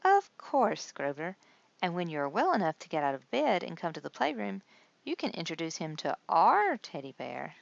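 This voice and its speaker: A woman's voice: lowish voice, sing-song, normal speed, vocal fry, dry recording, highs cut off, heavily acted. A woman voicing a kindly hospital nurse who explains things patiently and reassuringly in a storybook. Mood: friendly, upbeat. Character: kindly adult female hospital nurse guide.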